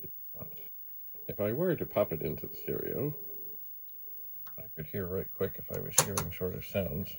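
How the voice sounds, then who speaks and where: A man giving a casual hands-on demo as the host, thinking aloud in short, broken, unhurried phrases. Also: low-pitched, sing-song, vocal fry. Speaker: host of a retro computer channel. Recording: faint background noise.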